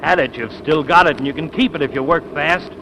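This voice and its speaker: An actor playing a bridegroom's nervy, pushy, scheming pal in 1940s radio comedy style. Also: male voice, a little high, fairly quick, close to an everyday voice. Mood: urgent scheming. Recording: old radio broadcast with loud engine noise.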